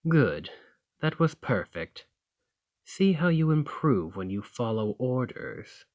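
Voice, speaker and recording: A man's voice: mid-range voice, very animated, takes it slowly, a little mellow, muffled recording. A man playing a dominant, haughty fantasy thane in an ASMR roleplay, commanding and formal with a condescending, teasing edge. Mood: instructive, teasing. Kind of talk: ASMR roleplay acting, slow and intimate.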